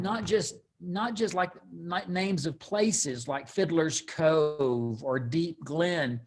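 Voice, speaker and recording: Male read-along host, voice a little higher than average, informal and halting, circling through explanations. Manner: rambling, halting explanations with repeated words. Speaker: host and co-author leading a book read-along. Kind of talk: casual live book discussion.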